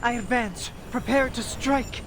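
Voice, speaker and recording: Slow-speaking, solemn warrior hero. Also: female voice, a little high, noisy background. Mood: shaken. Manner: measured, stoic, formal diction. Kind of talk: video game voice acting.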